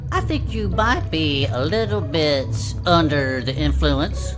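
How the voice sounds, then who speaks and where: A woman's voice: sits low, expressive, slow pace, music in the background. A woman improvising in character as a player in a tabletop game.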